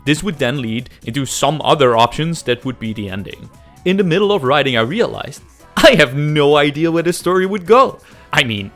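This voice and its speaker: A man's voice: medium pitch, fairly bright tone. A man narrating a scripted, comedic game development video with self-deprecating, exaggerated emphasis and some swearing. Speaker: host, amateur game developer narrating a devlog.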